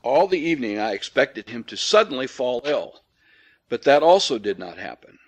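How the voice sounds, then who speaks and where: A man narrating an old ghost story from a script in a measured, formal, dryly cynical storytelling manner. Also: medium pitch, big pitch swings. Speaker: audiobook reader.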